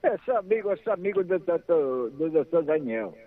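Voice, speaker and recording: A man's voice: a little high, big pitch swings, moderate pace. Chatty older man on phone line. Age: 60s. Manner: chatty, repeats himself, laughs easily. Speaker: radio call-in caller. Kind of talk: casual phone chat.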